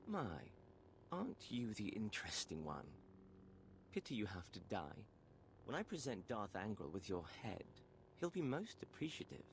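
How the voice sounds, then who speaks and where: A sneering, gravelly Imperial villain. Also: male voice, on the low side, very animated, moderate pace, slight background noise. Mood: taunting. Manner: taunting, condescending. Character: sneering, arrogant male Imperial villain, cruel.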